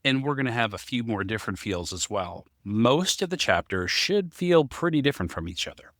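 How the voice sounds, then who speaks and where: A man's voice: medium pitch, animated, brisk, no echo, clean studio sound. A man co-hosting a casual podcast discussion, talking as the writer of the story. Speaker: podcast co-host, story writer and amateur voice actor.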